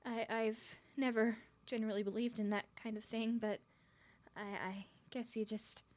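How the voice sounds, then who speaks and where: A woman's voice: a little high, flat delivery, normal speed, a little mellow, lightly acted. A woman playing a nervous, smitten young woman on a first date in an ASMR roleplay, stuttering and rambling with flustered giggles.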